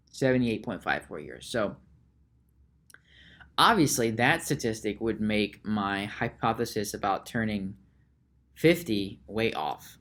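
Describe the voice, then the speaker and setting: A man hosting a solo podcast, musing slowly aloud with frequent restarts and repeated words. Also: fairly bright tone. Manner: reads search results aloud in a low mutter. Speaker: podcast host.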